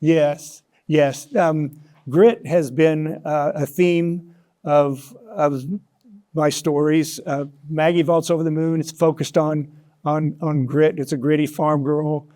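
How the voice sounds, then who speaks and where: An American man in his sixties with a mellow, relaxed tone set a little above average in pitch. He talks as an interview guest, thoughtful and reflective, often pausing mid-phrase as he finds his words. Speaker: interview guest, author and storyteller.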